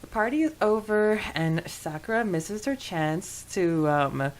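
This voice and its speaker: A woman's voice: lowish voice, expressive, measured pace. A woman co-hosting a podcast in a casual, chatty and enthusiastic way about a book. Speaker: podcast co-host.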